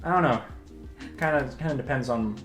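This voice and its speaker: A young man chatting casually with a co-host while drawing, relaxed and laughing through his stories. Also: mid-range voice, fairly quick. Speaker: streaming artist. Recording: faint music behind.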